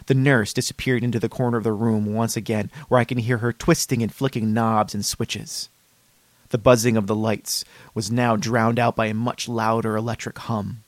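A man performing a horror story as an eerily calm, dreamy patient, measured and with dramatic pauses. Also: fairly low pitch, no echo, close to an everyday voice. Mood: eerie, dreamy. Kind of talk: scripted horror story narration.

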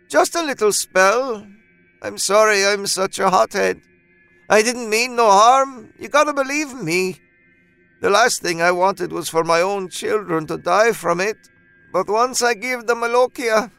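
A guilt-ridden old grandma played by a male narrator, hot-tempered and dramatic. The voice sits high and pleading, over background music in a horror storybook reading. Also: vocal fry, a bit bright, clean tone, no echo, heavily acted.

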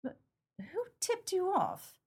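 An actress playing a tough, bitter 1930s gangster moll in a pulp crime radio play, slangy and dramatic. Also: female voice, very high voice, very animated, slow, a little mellow, heavily acted. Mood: bitter, vengeful. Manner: slangy, hard-boiled, dramatic.

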